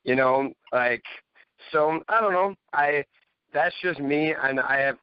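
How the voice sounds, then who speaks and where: Male fan guest, clean smooth voice, informal, on dry dull audio. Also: on the high side, slow, no echo. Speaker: returning panel guest. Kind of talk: casual fan chat.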